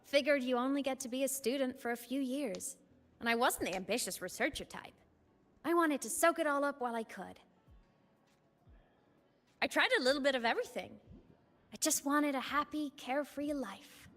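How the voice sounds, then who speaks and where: High-voiced, breezy student ghost girl. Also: moderate pace, pauses often, a bit bright. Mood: breezy, rueful. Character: cheerful, wistful young female student ghost. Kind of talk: video game voice acting. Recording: long reverb under game music.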